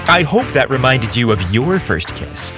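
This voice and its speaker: A man's voice: mid-range voice, big pitch swings, normal speed, over music. A man narrating a scripted romantic story as a storyteller.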